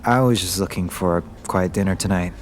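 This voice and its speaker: An actor playing an affectionate, wryly joking young Korean heir in an audio drama. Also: male voice, sits low, fairly quick, over loud music, natural voice. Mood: subdued.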